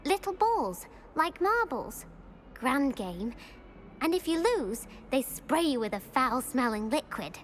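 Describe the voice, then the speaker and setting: Prim whiny british schoolgirl for a game, high voice, feels hard done by, bit of noise behind. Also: sing-song, takes it slowly, exaggerated voice. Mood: eager. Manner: dramatic, plaintive, haughty. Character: aggrieved, self-pitying schoolgirl, indignant and prim. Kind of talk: video game cutscene acting.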